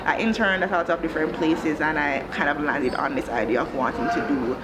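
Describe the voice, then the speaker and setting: A woman from Jamaica in her thirties, an education director answering interview questions in a thoughtful, articulate, measured way. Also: deep voice, loud music behind the voice, poor audio. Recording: background noise.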